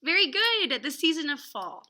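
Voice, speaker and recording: Female storybook reader, higher-pitched and bright, teacherly, expressive delivery with casual asides for young listeners. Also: normal speed. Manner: dramatic emphasis, gasps and exclamations. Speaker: children's picture book reader and teacher. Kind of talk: expressive storybook read-aloud with casual chatty asides to children.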